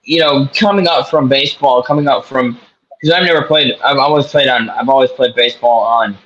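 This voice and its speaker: A man co-hosting a casual sports talk show, chatting in an animated, joking way. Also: mid-range voice, a little fast, dull audio. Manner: animated, slips into a mock New York accent. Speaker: co-host.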